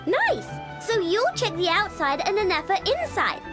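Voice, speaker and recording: A young girl genius from a video game, very high and girlish. The talk is breezy, cheeky and sure of itself, with bouncy intonation over background music. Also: sing-song, a little slow, exaggerated voice. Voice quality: childlike, girlish. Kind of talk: animated video game voice acting.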